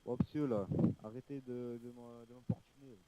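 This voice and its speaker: A man's voice: medium pitch, steady tone, a little fast, heavy background noise. A man in an online role-play game, bantering in character in an irritated, grumbling way.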